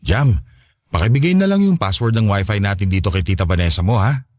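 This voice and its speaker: A man's voice: lowish voice, lively intonation, brisk, no room sound, clean studio sound. A man playing a doting, cheerful father in a Filipino radio drama, affectionate and easygoing.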